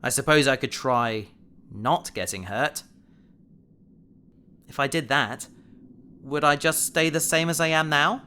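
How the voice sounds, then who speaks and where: A man's voice: a little high, unhurried, pauses often, pushed and bright, smooth. A man playing an earnest, stoic space hero who narrates his own science fiction audio drama. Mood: subdued, resigned. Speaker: protagonist and narrator.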